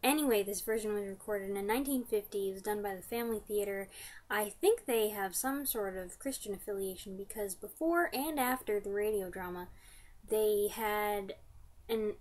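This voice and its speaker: A woman's voice: mid-range voice, moderate pace, a little mellow. A woman in her twenties chatting casually to camera, giving an enthusiastic fan review in informal slang. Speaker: vlogger reviewing radio drama. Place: small furnished room indoors.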